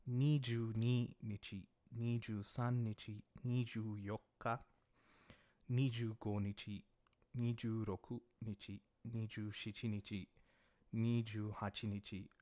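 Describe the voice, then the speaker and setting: A man's voice: on the low side, level tone, dark tone, no room sound. A man whose first language is English, teaching a livestreamed Japanese lesson and patiently explaining patterns step by step. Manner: patient, explains step by step, reads viewer questions aloud; slows down to pronounce Japanese words carefully.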